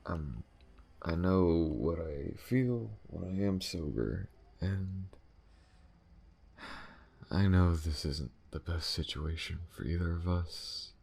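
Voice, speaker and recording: A man playing an alien spaceship captain in an intimate ASMR audio drama, speaking haltingly. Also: deep voice, lively intonation, gravelly, darkish tone, slightly rough, full character voice. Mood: tender, confessing. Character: adult male alien spaceship captain, tender lover, hardened to enemies.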